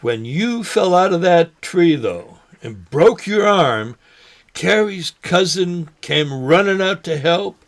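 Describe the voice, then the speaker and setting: A man playing a forgetful, folksy older man who talks haltingly, in a naturalistic drama. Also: high-pitched, animated, exaggerated voice. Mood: teasing. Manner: halting, folksy, mild exclamations. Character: forgetful old man, folksy, nervous, teasing lifelong fisherman. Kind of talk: naturalistic short film drama acting.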